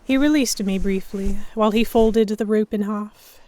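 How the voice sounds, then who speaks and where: A woman's voice: mid-range voice, moderate pace, warm tone. A woman narrating an audio drama in the first person and acting out the dialogue. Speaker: narrator and lead actor.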